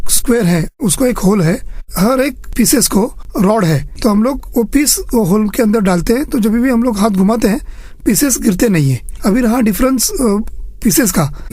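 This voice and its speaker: A man's voice: high voice, a little mellow, no room sound. A man giving earnest, methodical explanations as an interview guest, mixing in English phrases. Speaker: chess federation official interviewed as guest.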